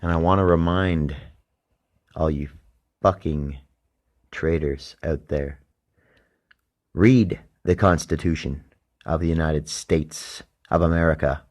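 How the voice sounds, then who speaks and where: A deep-voiced Canadian man talks through a loose solo podcast monologue. He rambles and swears freely, his words sometimes sinking into a mutter and then jumping up into loud, emphatic lines. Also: fairly even intonation, takes it slowly, long pauses. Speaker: podcast host. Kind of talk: casual late-night solo monologue.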